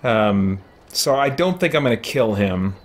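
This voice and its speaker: A man's voice: mid-range voice, lively intonation, unhurried. A middle-aged man giving casual live gameplay commentary as a streamer, thinking aloud. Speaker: streamer, gaming host. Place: small indoor room with shelves.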